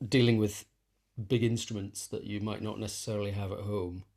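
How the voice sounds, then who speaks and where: A middle-aged man being interviewed in casual conversation, speaking in a reflective, halting way as he thinks aloud. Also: lowish voice, level tone, creaky, a little mellow. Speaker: musician and teacher being interviewed.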